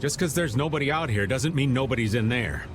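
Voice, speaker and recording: A relaxed, wisecracking older man from a video game, played by an actor, drawling his lines with lots of up-and-down swing. Clear game music plays underneath. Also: medium pitch, brisk, close to an everyday voice. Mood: easygoing. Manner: easygoing, teasing drawl.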